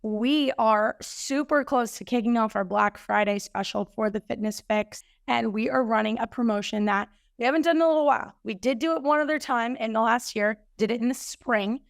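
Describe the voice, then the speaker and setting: A woman hosting a fitness podcast, slightly hoarse, chatting upbeat about coming news. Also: on the high side, moderate pace, no echo, clean studio sound. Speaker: podcast host and fitness coach. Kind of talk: casual solo podcast intro.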